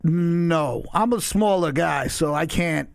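A man in his forties from Long Island with a raspy voice, rambling loosely and swearing freely as a podcast guest. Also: fairly high pitch, slow, dry recording, studio quality. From Long Island, New York. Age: 40s. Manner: loose, profane, laid-back rambling. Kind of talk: casual chat.

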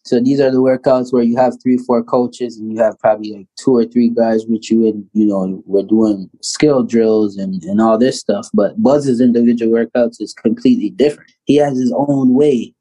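A man's voice: medium pitch, fairly even intonation, moderate pace, hardly any pauses, warm tone, rough recording. A Canadian man in his thirties, a pro basketball player as an interview guest, telling a story casually with fillers and frequent laughs.

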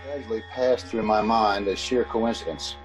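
A man's voice: lowish voice, fairly even intonation, music in the background, lightly acted. A man playing a haunted, uneasy motorist who tells his story in an old-time radio drama. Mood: uneasy, reflective. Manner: measured narrating, nervous stammering in dialogue. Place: home, recorded over a video call.

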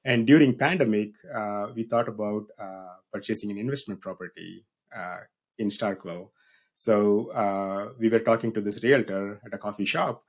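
A man's voice: fairly low pitch, moderate pace. A man in his thirties from South India with a South Indian accent, telling his story in an easygoing, lightly humorous way as a podcast guest. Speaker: guest, business owner and university researcher. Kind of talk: casual podcast interview.

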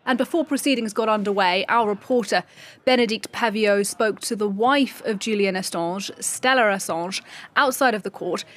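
A woman's voice: medium pitch, normal speed, a bit bright. Woman presenting broadcast news.

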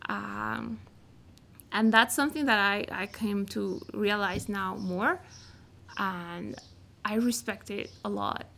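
A woman from Mexico speaks English with a Mexican accent, Spanish being her first language. Her voice carries a gravelly, fry-edged texture. She talks in a reflective, searching way, as a guest in a relaxed interview. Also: mid-range voice, takes it slowly. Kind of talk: casual interview conversation.

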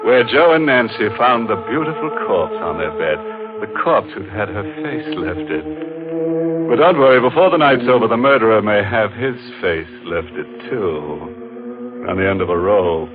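An actor playing a gleefully macabre host of a 1940s radio horror show, sardonic and mock-cozy. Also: male voice, lowish voice, expressive, a little slow, over music. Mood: sly, gleeful. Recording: tinny 1940s radio recording.

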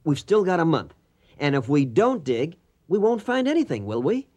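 A man playing a brash, impatient archaeologist's assistant in a radio drama, with a brisk, informal, wry delivery. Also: a little high, sing-song, mellow.

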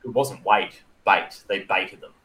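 An Australian man co-hosting a podcast in casual conversation, haltingly feeling for his words. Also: low-pitched, fairly bright tone. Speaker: podcast co-host.

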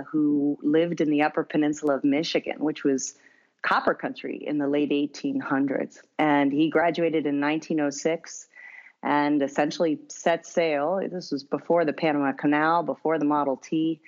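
A woman's voice: sits low, steady tone, normal speed, dull audio. An American woman, a guest on an interview podcast, telling her family story in a friendly way and laughing often. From United States. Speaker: guest, winery founder. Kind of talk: interview conversation.

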